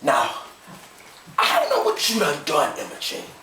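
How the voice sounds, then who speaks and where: In a roomy, slightly distant recording, a man acts out a rural Southern father in deep anguish and rage. He swings widely in pitch, pleading and thundering in folksy country dialect, a domineering husband in an audiobook drama. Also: wildly swooping pitch, measured pace, pushed and bright, heavily acted. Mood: angry, disbelieving. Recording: roomy, distant mic, faint noise.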